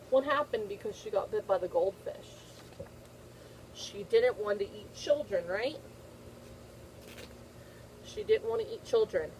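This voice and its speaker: A woman's voice: on the high side, a little mellow, slight background noise, poor audio. A woman teacher reading a picture book aloud to young children, repeating phrases and checking in with simple questions.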